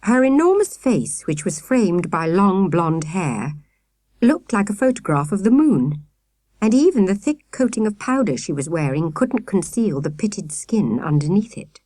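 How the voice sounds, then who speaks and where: A woman narrates a children's story. Her mid-pitched voice moves expressively, with lively intonation, and the recording is close and dry. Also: unhurried, no room sound. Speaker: audiobook narrator.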